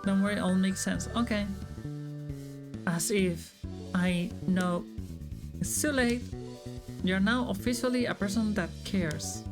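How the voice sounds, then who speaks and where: A woman's voice: mid-range voice, slow, long pauses. A woman game streamer reading visual novel dialogue aloud and chatting about it as she plays. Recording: game music behind the voice. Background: game music.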